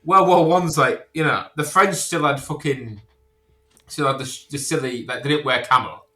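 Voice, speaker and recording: A man's voice: medium pitch, normal speed, sharp tone, reverberant. A man chatting casually on a podcast in a blunt, sweary way.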